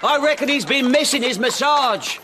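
An actor playing a plucky, cheerful small jockey in a radio drama. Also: male voice, very high-pitched, normal speed. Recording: busy crowd and outdoor sounds.

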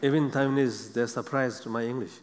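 Soft-spoken monk with halting English, hall reverb. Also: male voice, medium pitch, fairly even intonation, noisy background. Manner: halting non-native English with many pauses and "you know". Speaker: Buddhist monk panelist. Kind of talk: unscripted panel talk. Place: seated on a large theater stage.

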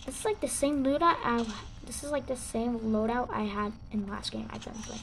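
A young girl with a slightly high voice chats through a match, sore-throated and loosely rambling. She trips over words and restarts often, and her talk jumps up in sudden excited bursts. A faint hum of noise sits under her voice. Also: creaky, poor audio. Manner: rambling, halting, with excited outbursts. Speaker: gaming channel host. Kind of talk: casual gameplay commentary.

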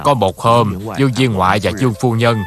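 An actor playing a kindly, patient older Buddhist master gently telling a story in a dubbed cartoon. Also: male voice, medium pitch, a little fast, music in the background, lightly acted. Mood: reassuring. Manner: gentle, explanatory.

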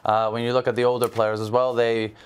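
A man's voice: mid-range voice, little pitch movement, a little fast, clean tone. A young man from Israel, a football agent as a studio guest, explaining in an earnest way with many fillers on a TV panel. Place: large TV studio.

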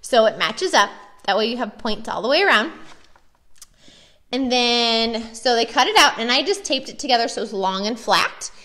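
High-voiced, chatty American woman. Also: lively intonation, normal speed, pushed and bright. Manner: chatty, enthusiastic. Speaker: preschool teacher. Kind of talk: casual show-and-tell of classroom activities.

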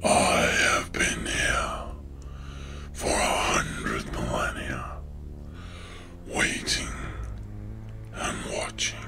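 An ancient villain lord speaks in a gravelly whisper, drawing out each portentous phrase very slowly. He is grandiose and menacing, a cold commander from a horror short, with music behind him. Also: very deep voice, heavily acted. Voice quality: raspy, croaking whisper. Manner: slow, portentous, drawn-out phrases.

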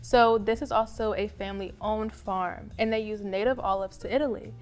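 A woman's voice: mid-range voice, steady tone, moderate pace, soft background music. A young woman co-hosting a cooking demonstration in a studio kitchen, presenting food products in an upbeat, agreeable way. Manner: upbeat, frequent agreeing murmurs.

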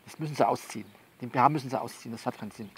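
A man playing a soothing but bossy confidant who coaches someone by phone in an audio drama, halting and repeating himself as he instructs. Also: mid-range voice, a bit bright, slight background noise, natural voice. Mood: bossy, coaxing. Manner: halting, repetitive, instructive, reassuring. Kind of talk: one-sided phone conversation in an audio novel.

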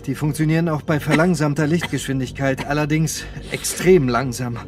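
A man's voice: fairly high pitch, fairly even intonation, moderate pace, darkish tone, soft background music, lightly acted. An actor playing a pragmatic, reassuring man of science aboard a spaceship in a radio drama. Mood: calm, reassuring. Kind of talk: German radio drama acting.

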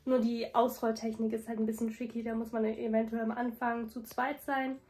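A young woman in her twenties, a food vlogger chatting casually and relaxed at home. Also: fairly high pitch, normal speed. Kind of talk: casual vlog chat. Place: home rooms and kitchen, indoors. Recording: echoey room with background noise.

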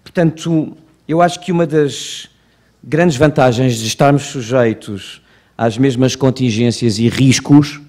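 A man in his fifties with a European Portuguese accent, giving a measured, rhetorical seminar speech at a podium microphone. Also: medium pitch, darkish tone. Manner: measured, rhetorical, slows for emphasis. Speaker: Portuguese consul general giving opening remarks. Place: conference auditorium, at a podium.

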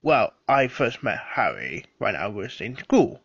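A man playing a defensive gentleman suspect in an audio drama, formal and earnest. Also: fairly low pitch, very animated, unhurried, breathy edge, dry recording, highs cut off, heavily acted. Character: defensive, well-spoken gentleman murder suspect. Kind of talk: scripted audio drama acting.

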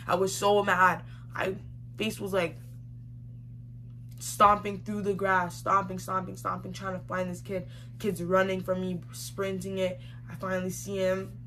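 A woman's voice: medium pitch, fairly bright tone. Emphatic young woman with noticeable background noise. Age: young adult. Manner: emphatic, slangy, repeats phrases. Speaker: vlogger. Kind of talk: animated casual storytelling to camera. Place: small room indoors, seated facing camera. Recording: clearly noisy home recording.

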